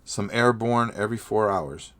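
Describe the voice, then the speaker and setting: A man in middle age hosts a hobby livestream, narrating casually as he paints. His voice sits a little low and sounds sniffly from a cold. He rambles, trails off and repeats words as he answers his chat. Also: moderate pace, fairly bright tone.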